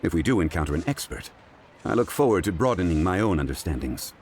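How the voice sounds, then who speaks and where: Very low voiced calm scholar gentleman, formal n measured but lots of melody, game dialogue. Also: slight background noise. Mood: calm, amused. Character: composed, modest, erudite gentleman scholar. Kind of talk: video game dialogue acting.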